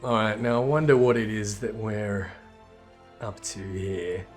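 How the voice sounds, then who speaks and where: A man's voice: lowish voice, steady tone, a little slow. A man giving casual, sweary gameplay commentary, reacting aloud in an irreverent way. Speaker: let's player reading game dialogue aloud. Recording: music behind the voice. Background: game music.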